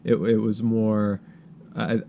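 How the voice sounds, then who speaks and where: A man co-hosting a podcast in casual political chat, halting and repeating words as he searches for his thoughts. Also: on the low side, level tone, creaky, narrow, tinny audio, a little hiss or hum. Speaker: podcast co-host.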